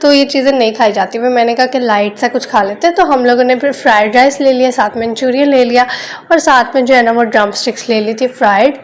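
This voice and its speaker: A woman's voice: a little high, fast talker. A young woman, chatting casually in a homely vlog voice-over while nursing a sore throat. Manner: chatty, homely, frequent filler phrases. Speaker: vlogger.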